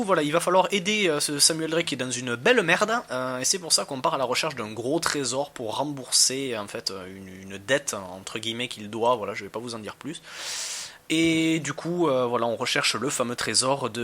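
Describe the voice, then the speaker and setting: A man's voice: mid-range voice, bright, forceful tone, a little hiss or hum. A man giving casual gameplay commentary as a reviewer, talking in a slangy, hesitant, rambling way. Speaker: video game reviewer.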